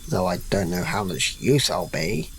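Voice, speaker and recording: A man playing a weary, doubtful warrior in a fantasy audio drama, with a creaky voice and a slow, wry manner. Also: low voice, animated, background noise. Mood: wry, uneasy.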